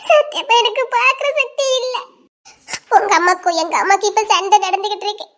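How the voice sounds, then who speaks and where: A woman's voice: very high voice, fairly even intonation, a little fast, a bit bright. A woman voicing a distraught seventeen-year-old village girl in a cartoon melodrama, plaintive and emotional, with a southern Tamil Nadu accent. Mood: tearful.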